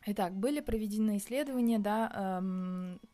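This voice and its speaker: Young woman, mellow voice, casual vlog musing, halting and searching for words. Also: mid-range voice. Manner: thinking aloud, hesitant with many pauses. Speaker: vlogger. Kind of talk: casual reflective monologue.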